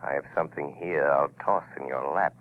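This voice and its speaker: An actor playing a sinister unseen avenger in an old radio mystery, speaking in an ominous, mocking manner. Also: male voice, rumbling low, steady tone, fairly quick, phone-quality sound, slight background noise. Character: sinister unseen male avenger, a taunting voice of conscience. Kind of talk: 1940s radio mystery acting. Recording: thin band-limited old radio recording.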